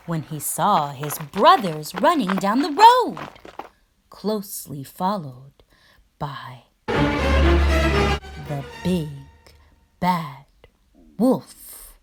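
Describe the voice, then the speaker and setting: A woman reading a children's story aloud as a storyteller, with expressive, dramatic and emphatic phrasing. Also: on the low side.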